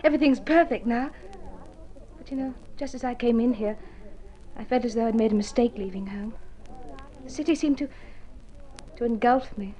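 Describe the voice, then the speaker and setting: A woman's voice: a little high, moderate pace, pauses often, warm tone, lightly acted, low-quality recording. An actress in golden age radio drama playing an earnest, wide-eyed young woman pianist with a polite, emotive delivery. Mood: earnest, relieved.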